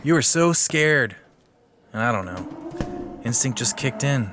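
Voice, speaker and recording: An actor playing a cocky, self-adoring adventurer in a comedic fantasy audio drama. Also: male voice, medium pitch, lively intonation, takes it slowly, over music. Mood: boastful storytelling. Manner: boastful, swaggering, self-satisfied. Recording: busy sound effects behind voice.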